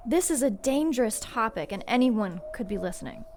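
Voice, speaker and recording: A woman's voice: on the high side, lively intonation, brisk, darkish tone, a little hiss or hum, lightly acted. An actress playing a loyal but skeptical female demon in an audio drama, with a dry, questioning, cautioning manner.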